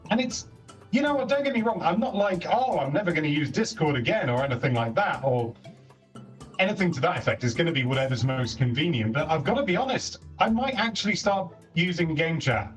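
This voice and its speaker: Chatty guy at home, loud carrying voice, far from the mic, some music behind. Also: fairly high pitch, big pitch swings, brisk. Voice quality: voice that carries. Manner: chatty, self-correcting, says "don't get me wrong" often. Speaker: co-host testing a console feature. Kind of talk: casual chat over voice call. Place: home, moving between rooms. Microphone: console built-in microphone from across the room. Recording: distant voice over game chat with music.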